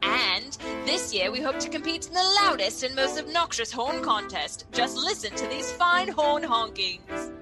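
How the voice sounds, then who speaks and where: A perky, relentless pitchwoman played by a woman sells in a high, bouncy voice over loud music, in comedy-sketch advertising style. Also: sing-song, a little slow, hardly any pauses. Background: prominent music.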